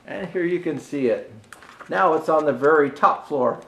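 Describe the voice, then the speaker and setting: A man reading a children's storybook aloud as Santa, reading haltingly and pausing for asides to show the pictures. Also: fairly high pitch, gravelly, fairly bright tone, echoey room. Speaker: storyteller presenting as Santa Claus.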